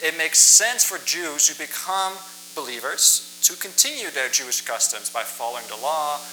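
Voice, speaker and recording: A man's voice: on the high side, lively intonation, normal speed, bright, forceful tone, slight background noise, poor audio. A young man, a native Dutch speaker from the Netherlands who moved to Canada as a child, gives a plainspoken, informal sermon as a guest preacher. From Netherlands, moved to western Canada at ten. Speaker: guest preacher, college staff member. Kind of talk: sermon with personal introduction. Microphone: church microphone.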